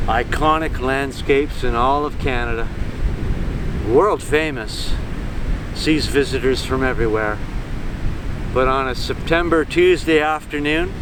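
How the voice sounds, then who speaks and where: A man with a fairly high-set voice narrates a documentary outdoors on a beach. He speaks reflectively in short, measured phrases, and loud surf and wind noise run behind him. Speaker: touring radio show host and performer. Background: waves and surf.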